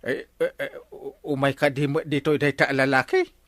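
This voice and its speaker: A man's voice: medium pitch, flat delivery, normal speed, fairly bright tone, dry recording, close to an everyday voice. A man with a Filipino accent playing a pompous, stammering, easily rattled village captain in a radio comedy drama. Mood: startled, uneasy. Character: blustering, self-important male village captain, easily rattled.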